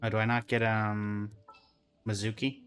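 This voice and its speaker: A man's voice: fairly low pitch, completely flat delivery, unhurried, fairly bright tone, clear, resonant tone. A man giving casual, offhand commentary as he plays a game, thinking aloud and reading dialogue. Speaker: gaming reaction streamer. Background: game music.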